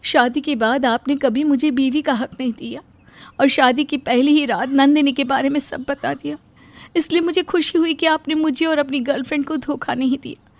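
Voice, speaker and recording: A woman playing a gentle, earnest and polite young wife in a cartoon story. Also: high-pitched, fairly even intonation, normal speed, dry recording, voice pushed up. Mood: hurt. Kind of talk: Hindi cartoon story voice acting.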